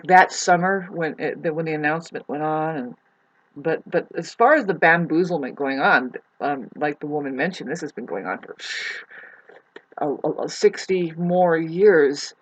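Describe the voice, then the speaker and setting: Husky-voiced woman, rambling and halting. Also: lowish voice. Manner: meandering, halting, trailing off mid-thought. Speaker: vlogger. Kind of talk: rambling spoken monologue, casual chat.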